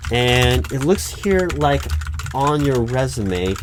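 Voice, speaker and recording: Man playing halting recruiting manager, typing behind. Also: medium pitch. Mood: politely probing. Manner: hesitant, stammering, politely formal. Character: polite, awkward male corporate recruiting manager. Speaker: recruiting manager interviewing a job applicant. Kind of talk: one-sided audio roleplay, loud spoken ASMR. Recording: steady background noise, keyboard typing. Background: computer keyboard typing.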